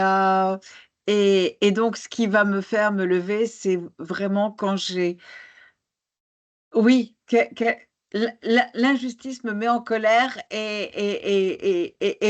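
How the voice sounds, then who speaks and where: A woman speaking on a conference panel who grew up abroad. She talks with passion but hesitates, restarting often. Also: medium pitch. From born in Algeria, grew up in Argentina. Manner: hesitant, many restarts, passionate about injustice. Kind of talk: panel discussion answer.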